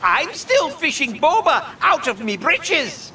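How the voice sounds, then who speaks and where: A scheming pirate crew member from a comic cartoon. The voice is high, sharp and wildly expressive, with loud theatrical pirate talk against a noisy background. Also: female voice, slow pace, exaggerated voice. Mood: gloating.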